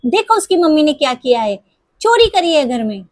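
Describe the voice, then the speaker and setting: Imperious household madam for a cartoon, played by a woman; high-pitched, quick to blame. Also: big pitch swings, dry recording, clean studio sound. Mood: scolding. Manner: imperious, insistent. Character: bossy, accusing wealthy lady of the house.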